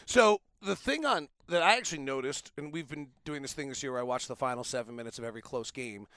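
A man hosting a sports podcast, talking basketball and reading sponsor spots in an animated, conversational way with rambling asides. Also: medium pitch, quick pace, no room sound. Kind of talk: casual basketball analysis and conversational ad reads.

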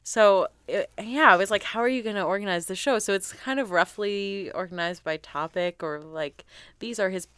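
Bright, crisp woman in playful casual chat. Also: mid-range voice, normal speed, no echo. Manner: teasing, playful. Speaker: podcast co-host discussing art.

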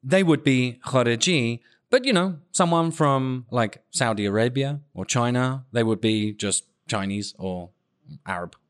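A man's voice: medium pitch, a little slow, clear, resonant tone. A man hosting a podcast in casual conversation, joking and poking fun at himself. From United Kingdom. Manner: jokey, self-deprecating. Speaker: podcast host.

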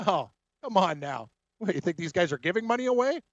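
High-voiced, emphatic sports co-host. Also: male voice, big pitch swings, brisk, no room sound, highs cut off. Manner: emphatic, opinionated. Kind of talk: casual sports talk.

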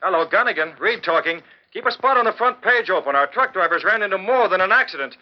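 A man's voice: high voice, expressive, fast talker. A man playing a decisive, authoritative newspaper publisher with a commanding manner in a 1940s radio drama. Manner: crisp, commanding. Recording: dull old radio broadcast recording.

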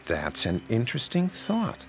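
An American actor playing a middle-aged, paternal, insinuating pastor in an audio drama, measured and preachy. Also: male voice, medium pitch, huge pitch swings, a bit bright, faint music behind, exaggerated voice. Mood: coaxing. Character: paternal, insinuating small-town pastor with grown daughters.

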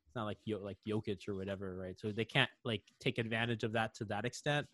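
A man co-hosting a podcast, chatting casually about basketball and often trailing off quietly. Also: fairly low pitch, fairly even intonation, fast talker, no room sound. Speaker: podcast co-host. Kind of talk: casual sports chat.

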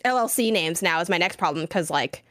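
A young woman co-hosting a casual gaming show, a little rough from a coming cold, rambling and self-deprecating. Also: lowish voice, lively intonation, a little fast, a bit bright, no room sound, studio quality. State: getting sick, voice a bit rough. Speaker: podcast co-host. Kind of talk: casual chat.

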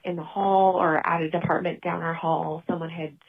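A woman's voice: fairly low pitch, little pitch movement, moderate pace, vocal fry, husky. A woman leaving a voicemail as a listener, casually telling a personal anecdote. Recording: muffled, noisy voicemail message.

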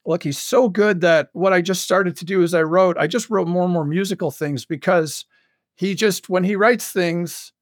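A man with a notably high-pitched voice, recorded close and dry. He talks as a guest in a casual interview, enthusiastically stressing his words as he explains his creative work. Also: moderate pace, no room sound. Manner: enthusiastic, animated storytelling with frequent emphasis. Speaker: guest, audio fiction creator and commercial voice director.

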